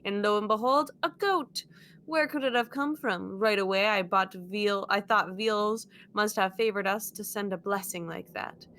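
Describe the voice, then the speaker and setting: A woman co-streaming a game, chatting casually and reading game text aloud with lively emphasis. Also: mid-range voice, normal speed. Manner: giggly, reads with lively rising emphasis.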